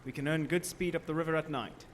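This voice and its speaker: An actor playing a resolute young man on a vampire hunt, giving measured diary entries in a live radio horror drama. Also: a little high, natural voice. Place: small theater stage. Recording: noisy live stage broadcast, long reverb, hoofbeats.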